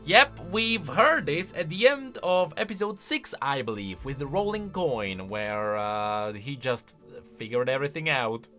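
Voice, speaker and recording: Chatty guy reacting to game lore, bright forceful voice, really animated, little bit of music behind. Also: mid-range voice, unhurried, hardly any pauses. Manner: informal, opinionated, chatty. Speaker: video game lore commentator. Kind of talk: casual reaction commentary.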